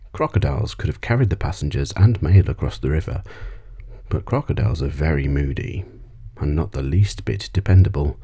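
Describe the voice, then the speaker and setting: A man voicing a polite, well-travelled old female alley cat in a storybook, soft and breathy, telling her tales at leisure. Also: very deep voice, exaggerated voice. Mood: patient, explaining. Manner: courteous, leisurely, reminiscing. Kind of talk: ASMR storybook reading.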